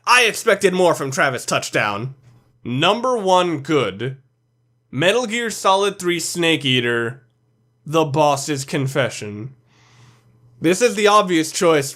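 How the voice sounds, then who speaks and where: A man's voice: sits high, a bit bright. A man narrating a countdown video as its reviewer, delivering opinionated scripted commentary in a blunt, sweary, emphatic way.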